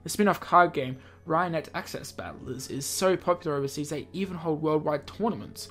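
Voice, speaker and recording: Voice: mid-range voice, fairly quick, a bit bright, soft background music. A video game host reading a visual novel aloud, stumbling over words and dropping in jokey offhand comments. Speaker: let's play video host.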